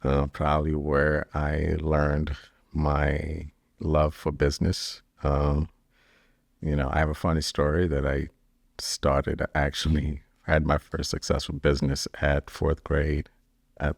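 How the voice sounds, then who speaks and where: Founder from Kenya, middle-aged man, very low flat gravelly voice, dry close sound. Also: a little slow, no room sound. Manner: relaxed, rambling, many fillers and restarts. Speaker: business founder. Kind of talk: interview answers.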